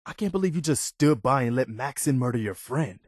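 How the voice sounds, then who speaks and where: An actor playing an earnest, dutiful militia soldier in a post-apocalyptic video game, speaking in a plain, folksy way. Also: male voice, mid-range voice, animated, fairly quick, no echo, studio quality, lightly acted. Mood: urgent, concerned. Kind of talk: video game companion voice lines.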